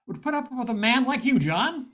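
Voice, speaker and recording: Older actor as a wry private detective, confident, lively intonation, radio mystery style. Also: medium pitch, moderate pace. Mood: teasing. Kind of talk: amateur old-time radio mystery acting.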